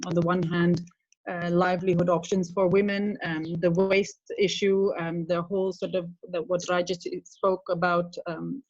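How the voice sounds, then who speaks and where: A woman from the Netherlands, co-founder of a social enterprise, speaking haltingly with many fillers in an online workshop. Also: fairly low pitch, a little mellow, no echo, lo-fi sound. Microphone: video call.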